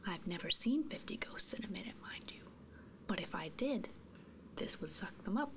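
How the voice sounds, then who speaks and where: A woman performing an ASMR roleplay in a soft, breathy whisper, gently inquisitive and murmuring, close to the microphone. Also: medium pitch, lively intonation, normal speed. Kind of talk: whispered ASMR roleplay as a ghost removal technician.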